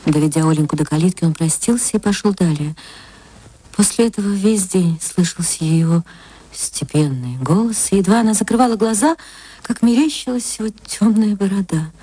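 A woman reading literary fiction as an actress narrator, expressive and theatrical, moving between hushed and swelling phrases. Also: medium pitch, moderate pace, husky, no echo.

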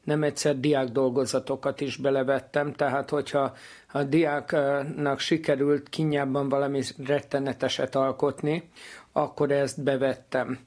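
A man's voice: mid-range voice, flat delivery, a little mellow. A man, a history teacher explaining things through anecdotes in an interview.

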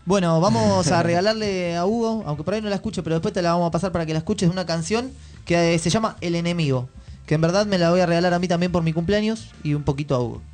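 A man's voice: sits high, quick pace, darkish tone, faint music behind. A man with an Argentine Rioplatense accent, chatting casually as a radio host.

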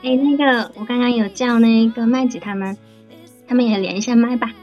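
A woman with a fairly high voice answers questions informally over an online voice chat. She is a little hesitant, pausing between short phrases, with music playing behind. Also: fairly even intonation, a little mellow, muffled recording. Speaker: drama production planner. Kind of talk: casual interview answers.

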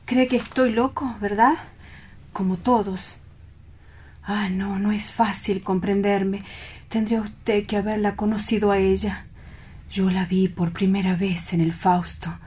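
Soft, breathy, lovelorn romantic dreamer acted by a man. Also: high voice, little pitch movement. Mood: melancholy, yearning. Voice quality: soft, breathy, half-whispered. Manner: lyrical, wistful, drawn-out reminiscing. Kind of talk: radio play acting.

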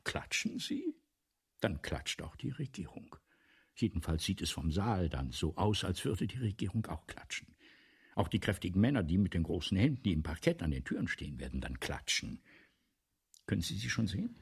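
Vain imperious writer, very husky low voice, theatrical swooping delivery, scheming, pompous, radio drama. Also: male voice, sing-song, normal speed, full character voice. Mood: smugly scheming. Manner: pompous, theatrical, lecturing in long sentences. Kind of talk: German radio play acting.

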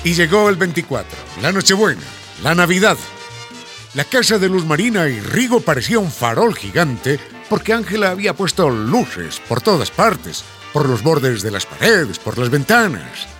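Male narrator for a radio serial, fairly high voice, very expressive, salsa playing behind. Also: moderate pace, faint music behind. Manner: animated storytelling. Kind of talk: scripted radio drama narration.

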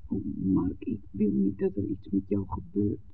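An actress playing an anxious, pleading young village daughter in love, in a 1960s Dutch radio drama. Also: female voice, medium pitch, sing-song, measured pace, gravelly, full character voice. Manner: emotional, imploring. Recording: narrow-band 1969 radio recording, faint hiss.

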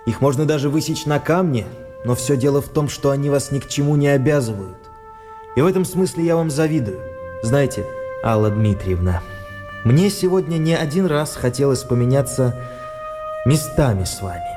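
An actor playing a weary, stern, self-controlled army captain with a measured, commanding manner in a radio play. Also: male voice, mid-range voice, lively intonation, close to an everyday voice. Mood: measured irony. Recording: long hall reverb, music behind.

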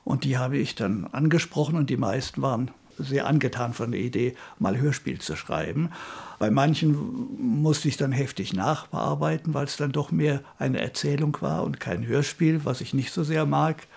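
A man's voice: mid-range voice, lively intonation, moderate pace, a little mellow. An older man talking reflectively in an interview about his work as a radio director. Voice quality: husky, creaky. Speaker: retired radio drama director being interviewed.

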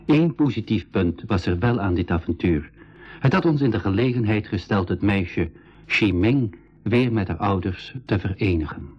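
An actor narrating a radio adventure as one of the travellers. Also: male voice, lowish voice, lively intonation, normal speed, slightly rough. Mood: measured. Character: adventurer narrator recounting his group's journey. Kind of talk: scripted radio play narration. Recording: dull 1980s radio recording.

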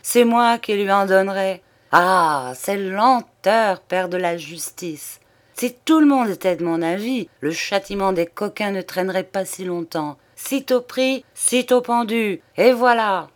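Audiobook role: garrulous, self-satisfied old gentleman sleuth, exclamatory and emphatic played by a female reader. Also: fairly low pitch, normal speed, lightly acted. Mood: exalted, boastful. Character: excitable, vain, garrulous old man, amateur detective. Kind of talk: audiobook character dialogue.

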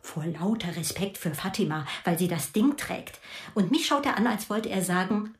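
A woman's voice: medium pitch, moderate pace, close to an everyday voice. An actress playing an angry, proud middle-aged mother who rants with sarcasm in a radio drama. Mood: bitter.

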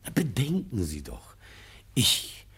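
An actor playing a panicky, hypocritical politician in a classic radio drama, stammering, agitated and evasive. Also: male voice, lowish voice, wildly swooping pitch, takes it slowly, pushed and bright, breathy edge, exaggerated voice. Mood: agitated, despairing. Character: flustered, panicky hypocritical politician and morality society president.

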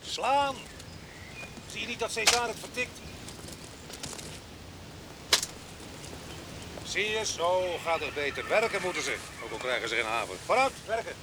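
An actor playing a rough, wild, one-eyed farm boss in a radio play, gruff and mocking as he gives orders. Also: high-pitched, sing-song, brisk. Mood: fierce. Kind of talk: Dutch radio drama acting. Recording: noisy outdoor farm sound effects behind.

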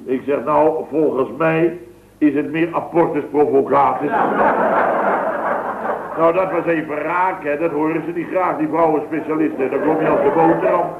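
A wisecracking builder, old radio. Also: male voice, on the high side, fairly even intonation, moderate pace, vocal fry. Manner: chatty, rambling anecdote with punchy comic asides. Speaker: comic storyteller in a radio sketch. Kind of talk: 1960s radio comedy sketch. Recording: tinny, noisy, echoey old radio broadcast.

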